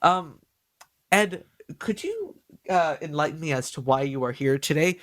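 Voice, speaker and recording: Excitable nasal-voiced podcast host. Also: male voice, a little high, unhurried, no echo, clean studio sound. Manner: excitable, stumbles over words. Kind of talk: excited casual podcast chat.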